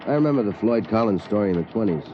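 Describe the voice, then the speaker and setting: An actor playing a plain-spoken veteran newspaper night editor in a 1950s radio drama, talking colloquially. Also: male voice, on the low side, expressive, rapid-fire, music in the background, lightly acted. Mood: matter-of-fact, wry. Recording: muffled 1950s radio with faint noise.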